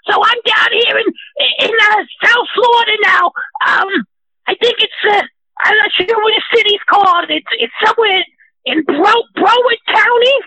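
Brief: shrill, bright, shouty wannabe superhero, boastful and trigger-happy, close dry sound. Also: moderate pace, dry recording, exaggerated voice, poor audio. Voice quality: high, shouting, whiny near-crying delivery. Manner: boastful, aggressive, rambling. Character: trigger-happy self-styled vigilante superhero caller. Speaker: caller.

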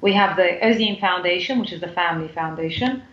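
A woman's voice: fairly low pitch, a little fast, a bit bright, roomy sound, muffled recording. A woman from the UK with a British accent, a guest on a podcast interview, talking in a chatty way with many fillers and restarts. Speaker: guest, foundation CEO.

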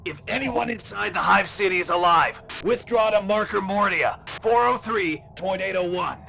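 An actor playing an urgent military broadcaster, gravelly and strained, repeating orders over a radio. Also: male voice, high voice, normal speed, pushed and bright, soft background music, heavily acted, rough recording. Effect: radio transmission. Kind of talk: radio military announcement in audio drama.

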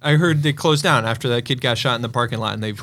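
A man's voice: medium pitch, fast, pushed and bright, a little hiss or hum. A man co-hosting a casual movie podcast, joking around with playful exaggeration. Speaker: podcast co-host; movie theater operator.

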